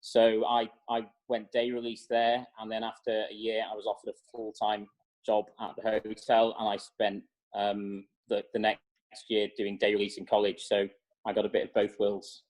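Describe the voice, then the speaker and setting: A man in his thirties talks over a video call with a flat, even tone. He answers at a steady pace, with many fillers and small restarts. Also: fairly low pitch, moderate pace, rough recording. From North Wales. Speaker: executive chef, guest. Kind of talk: interview answers on a webinar.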